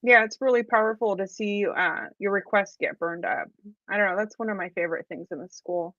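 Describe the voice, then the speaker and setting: A woman's voice: medium pitch, fairly even intonation, brisk, a bit bright, no room sound. A woman co-hosting a live question session in casual conversation, recorded on a dull, slightly lo-fi microphone. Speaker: co-host reading out member questions. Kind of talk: casual chat.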